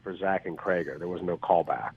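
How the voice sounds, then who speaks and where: A man with a raspy voice, telling stories as an actor guest in a casual interview, rambling with plenty of ums. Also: low voice, a little fast, slight background noise. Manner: rambling, self-deprecating, with filler ums. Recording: phone-like call audio.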